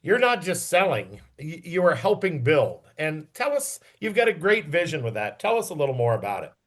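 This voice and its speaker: A man interviewing a guest on a podcast in a conversational, encouraging and deliberate way. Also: fairly high pitch, sing-song, a bit bright.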